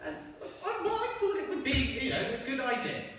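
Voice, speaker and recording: A man's voice: extremely high pitch, very animated, a little fast, a bit bright, distant mic, a little hiss or hum, heavily acted. A man playing a hesitant, flustered fellow actor in a live comic radio-play staging. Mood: sheepish. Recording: echoey hall, picked up from afar.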